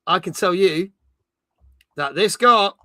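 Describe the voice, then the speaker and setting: A British man in his forties hosts a casual gaming stream. His voice sits on the high side and is very bright and forward, captured close and dry. He chats and reads out live comments as he goes. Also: lively intonation, slow, no room sound. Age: 40s.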